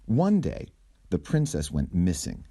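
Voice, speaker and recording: Man, children's story reader, theatrical, slow, very lively intonation, deliberate phrasing. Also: sits low. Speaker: storyteller reading a picture book aloud. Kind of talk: animated children's storybook narration.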